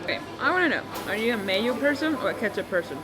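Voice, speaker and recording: A woman's voice: fairly high pitch, sing-song, fast talker, music in the background, poor audio. A young woman whose first language is Spanish, chatting casually to camera in a vlog, teasing herself and laughing often. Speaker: vlogger.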